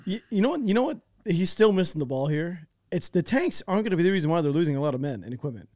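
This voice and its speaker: An American man hosting a podcast, excitable and swearing freely, chatting and doing ad reads. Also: a little high, very fast, no echo, clean studio sound. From United States. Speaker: podcast host. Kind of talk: casual chat with ad read.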